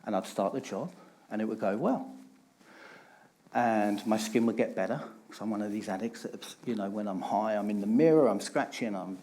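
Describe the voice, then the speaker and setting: A man's voice: fairly low pitch, normal speed, dark tone, a little hiss or hum. A middle-aged man, giving a candid personal talk on stage and stressing points through repetition. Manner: candid, emphatic storytelling with repeated phrases. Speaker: conference speaker.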